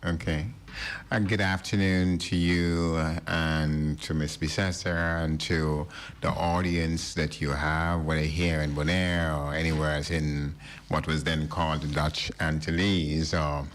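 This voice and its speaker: A middle-aged man from Barbados, a radio studio guest, speaking in a measured, formal and polite way. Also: very deep voice, little pitch movement. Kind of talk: radio interview.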